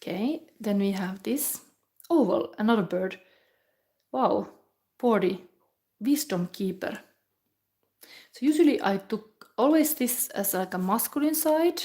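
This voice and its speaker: A woman from Finland with a Finnish accent, giving a rambling, musing tarot reading in English that often drops to a soft whisper. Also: medium pitch, expressive, a little slow, long pauses. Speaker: tarot reader. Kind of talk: live pick-a-pile tarot reading chat.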